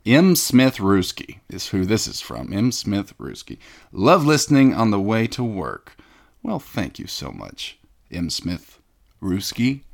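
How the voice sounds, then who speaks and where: Man, comedy podcast host, low gravelly voice, pompous, self-important, mock-indignant. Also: wildly swooping pitch, slow. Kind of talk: comedic scripted podcast banter.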